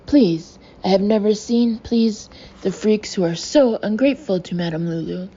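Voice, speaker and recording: A woman's voice: medium pitch, normal speed, dark tone, slightly rough, natural voice. A woman voicing a bossy fake fortune teller with an odd put-on accent who snarls orders and says please constantly. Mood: furious. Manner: snarls orders, tacks "please" onto everything. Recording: dull lo-fi with faint hiss.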